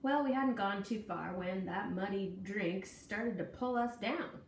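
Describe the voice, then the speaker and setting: A woman reading a children's story aloud in an expressive, folksy, deliberate way with dramatic emphasis. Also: mid-range voice. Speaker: storybook reader. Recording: echoey room, distant mic, faint noise.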